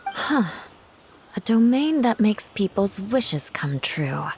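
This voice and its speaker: A woman's voice: medium pitch, very animated, slow, a little mellow, a little hiss or hum. A woman playing a proud, cool, dutiful noblewoman knight in a video game, with a formal, haughty manner. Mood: intrigued. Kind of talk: video game cutscene acting.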